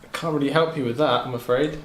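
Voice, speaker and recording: A man's voice: mid-range voice, fairly even intonation, quick pace. A man playing a tabletop game, chatting casually with the group. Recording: echoey room, faint noise.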